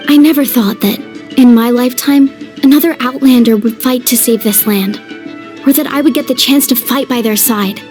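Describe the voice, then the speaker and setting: A woman's voice: on the high side, moderate pace, a little mellow, soft background music, lightly acted. A woman voicing a young female warrior in a video game, encouraging, sincere and loyal. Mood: reverent.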